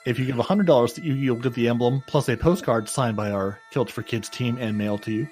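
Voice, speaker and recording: Podcast co-host, male, mid pitch, casual and rambling with stutters, faint music underneath. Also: a little fast, a little mellow. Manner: chatty, self-mocking, repeats words. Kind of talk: casual gaming podcast chat.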